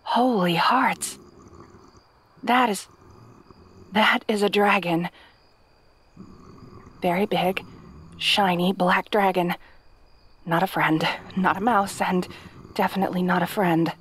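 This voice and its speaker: A woman's voice: on the low side, fairly even intonation, slow pace, pauses often, a bit bright, a little hiss or hum. A woman playing a kind, hapless young princess in a fantasy audio roleplay, talking to the listener in a nervous, stammering, chatty way. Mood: awed. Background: night sounds with crickets.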